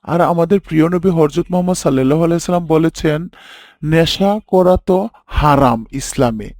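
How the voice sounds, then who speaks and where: A man's voice: on the high side, normal speed, darkish tone, no echo, studio quality, close to an everyday voice. A man playing a kindly, pious father in a children's moral cartoon, patient, approving and preachy as he teaches. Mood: instructive. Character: kindly, pious father gently teaching his young son.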